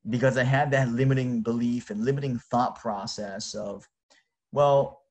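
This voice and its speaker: A man's voice: mid-range voice, fairly even intonation, unhurried, a little mellow. A man whose native language is Japanese, chatting casually to his listeners in an informal, exclamatory way. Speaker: host.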